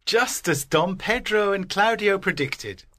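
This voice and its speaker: A woman voicing a commanding, jovial older gentleman, emphatic and authoritative, in storybook dialogue. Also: medium pitch, animated, unhurried. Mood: pleased.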